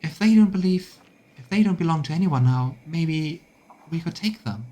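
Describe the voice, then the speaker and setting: A man's voice: on the high side, animated, normal speed, mellow, voice pushed up. A man voicing a timid, earnest, conscientious council member in a fantasy game, speaking hesitantly. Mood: hesitant dismay.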